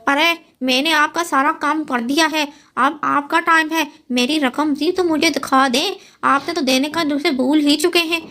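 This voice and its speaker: A woman's voice: high-pitched, fairly even intonation, moderate pace, hardly any pauses, pushed and bright, voice pushed up. A woman voicing a greedy, impatient male crow henchman in a children's cartoon, with an eager, nagging manner. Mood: insistent.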